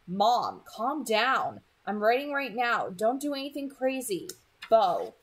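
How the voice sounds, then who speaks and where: A woman's voice: fairly high pitch, huge pitch swings, slow, slightly rough, voice pushed up, full character voice. A woman voicing a dry, patient teenage son in a storybook read-aloud. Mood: exasperated, placating. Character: teenage son, dry, patient, soothing his flighty mother.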